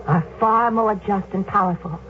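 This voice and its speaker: A woman's voice: mid-range voice, moderate pace, close to an everyday voice. An actress playing a resolute young Roman woman in a radio drama, speaking firmly and persuasively. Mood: determined. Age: young adult. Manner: firm, determined, persuasive. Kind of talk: 1970s radio drama acting. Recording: tinny lo-fi radio broadcast with loud hiss.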